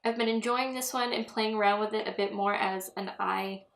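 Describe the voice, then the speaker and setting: A young woman chats through a makeup tutorial at home, giggling and drifting into asides. Her voice sits at an ordinary pitch for a woman and sounds a little stuffy from a cold. The room gives it a noticeable echo. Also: fairly even intonation, a little fast, gravelly, a bit bright. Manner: chatty, giggly, rambling asides. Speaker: beauty vlogger. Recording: echoey room.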